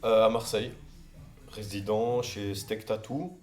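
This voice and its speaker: A man's voice: fairly low pitch, measured pace. A man who grew up in Brussels and works as a tattoo artist, chatting casually and hesitantly, with lots of fillers. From southern France, raised in Brussels. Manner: relaxed, hesitant, many fillers. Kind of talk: casual podcast chat.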